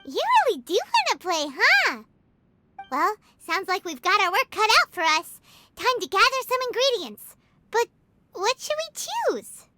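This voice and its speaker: A squeaky little fairy companion from a video game, childlike and cheeky. The pitch is extremely high and swoops around brightly, with blunt, excitable delivery. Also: female voice, measured pace, slight background noise, heavily acted. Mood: eager, curious. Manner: excitable, blunt, third-person chatter. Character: tiny childlike female fairy companion, cheeky, greedy for food. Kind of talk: animated video game voice acting. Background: background music.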